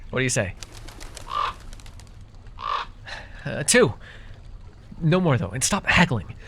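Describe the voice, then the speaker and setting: A man's voice: medium pitch, a bit bright, slight background noise. A man playing a chatty, wry and friendly fae potion brewer in a fantasy audio roleplay, talking to the listener with hesitant asides. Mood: haggling, playful.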